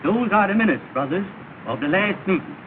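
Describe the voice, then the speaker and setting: A man's voice: high voice, expressive, fairly quick. An actor playing a male lodge secretary reading the minutes in a formal drone. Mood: solemn. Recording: distant voice on hissy tinny 1930 soundtrack.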